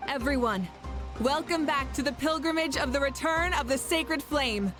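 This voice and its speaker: A woman's voice: high-pitched, normal speed, close to an everyday voice. A woman voicing a confident female ruler in a video game, giving a rousing, commanding speech as tournament host. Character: confident female ruler addressing her people. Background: game music.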